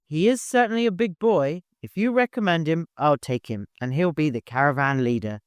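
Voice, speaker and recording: A man voicing a hurried, no-nonsense master organizing a caravan in an audiobook, courteous but commanding. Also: a little high, smooth, no echo, clean studio sound, lightly acted. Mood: businesslike.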